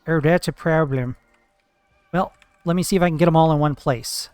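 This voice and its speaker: Streamer, male, higher-pitched, dry close sound: loose, swearing, teasing chat while he plays. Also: moderate pace, darkish tone, smooth, no echo, soft background music. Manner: chatty, profane, playful teasing of chat. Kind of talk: casual live-stream chat while gaming.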